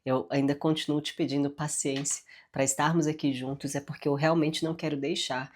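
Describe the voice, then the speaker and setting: A woman's voice: low voice, level tone, a little fast. A woman with a congested, nasal voice teaching the Bible and praying, often adding "né?" and "amém?" as she speaks, with a Brazilian accent. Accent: Brazilian Portuguese. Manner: warm, frequent "né?" and "amém?" tags. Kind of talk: devotional Bible teaching with prayer.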